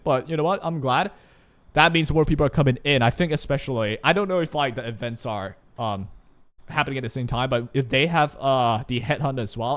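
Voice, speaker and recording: A young man whose first language is Chinese, giving casual, rambling commentary on a gacha game's revenue as a gaming YouTuber. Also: medium pitch. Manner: chatty, rambling, frequent "right?" asides.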